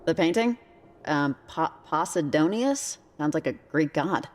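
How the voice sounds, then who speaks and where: A woman's voice: sits low, animated, unhurried, noisy background. An actress playing a wry, skeptical young woman in a video game, speaking in a naturalistic, understated, conversational way. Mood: wry, unimpressed. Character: dry-witted, skeptical young woman exploring a cult's hideout. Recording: music behind the voice.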